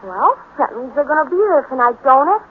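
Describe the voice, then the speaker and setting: A woman's voice: high-pitched, big pitch swings, fairly quick. A woman acting an eager, inquisitive young girl in a 1950s radio drama, coaxing and questioning. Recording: noisy, telephone-like 1950s radio recording.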